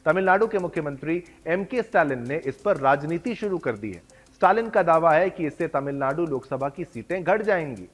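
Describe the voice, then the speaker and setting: A man's voice: fairly high pitch, animated, moderate pace, hardly any pauses. A man anchoring a news bulletin, reading stories in a combative, accusing manner with rhetorical questions. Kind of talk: studio news presentation.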